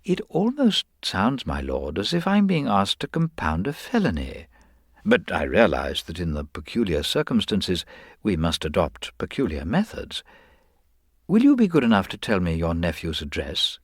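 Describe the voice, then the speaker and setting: A man voicing a mild-mannered, gently reasonable government detective in a story, speaking with hesitant, polite understatement. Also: deep voice, huge pitch swings, moderate pace, breathy edge, no echo, clean studio sound, heavily acted. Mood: mild, measured. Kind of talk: dramatised audiobook reading.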